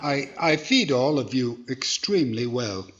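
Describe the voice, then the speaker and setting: A man's voice: medium pitch, animated, unhurried, dull audio, background noise, lightly acted. A man playing an earnest, hesitant ventriloquist boss, the flustered straight man of a 1940s radio comedy. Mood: earnest protest. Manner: hesitant, earnestly self-defending.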